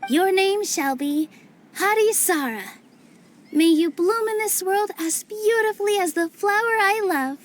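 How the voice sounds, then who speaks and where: A woman voicing a gentle, kindly young forest fairy in a video game, earnest and thoughtful. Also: extremely high pitch, unhurried. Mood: gentle, welcoming.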